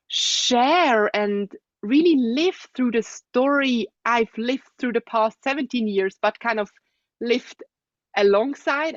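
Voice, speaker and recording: A young adult woman with a Swiss accent, a guest speaking thoughtfully in an interview, with soft laughs and hums of agreement. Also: on the high side, dry recording, lo-fi sound. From Switzerland. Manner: thoughtful, soft-spoken, frequent gentle laughs and hums of assent. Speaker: guest, osteopath. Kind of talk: reflective interview conversation.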